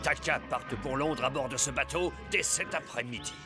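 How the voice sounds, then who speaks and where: Man playing greedy animal trader, bossy, pompous, irritable, mid-pitched male cartoon villain, loud music behind. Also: moderate pace, natural voice. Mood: commanding, boastful. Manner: commanding, pompous. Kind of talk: dubbed cartoon acting.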